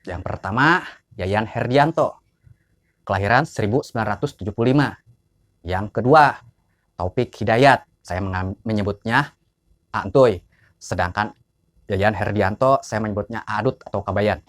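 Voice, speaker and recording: A man's voice: fairly low pitch, moderate pace, long pauses. A man, giving an informal biographical narration as he lists names and years. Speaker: narrator of family history.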